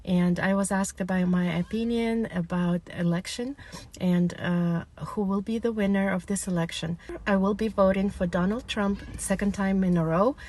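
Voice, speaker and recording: A woman from Kazakhstan, interviewed, talking calmly and plainly with some fillers. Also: medium pitch. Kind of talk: casual interview.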